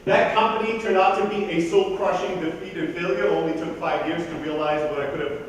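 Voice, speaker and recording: A man in his thirties from Greece, raised in southern Germany, giving a blunt, profane, energetic keynote talk at a conference. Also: high voice, brisk, slight background noise. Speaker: conference speaker. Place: large conference hall. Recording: echoey hall from far off.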